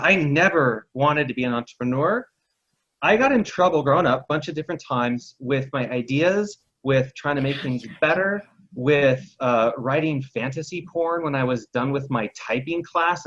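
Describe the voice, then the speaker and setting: A man's voice: fairly high pitch, animated, normal speed, fairly bright tone. A man from Texas, a guest on a podcast, telling his story reflectively with frequent restarts. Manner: reflective, many restarts and self-corrections. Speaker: guest entrepreneur and coach. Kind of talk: casual interview storytelling. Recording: slightly dull lo-fi sound.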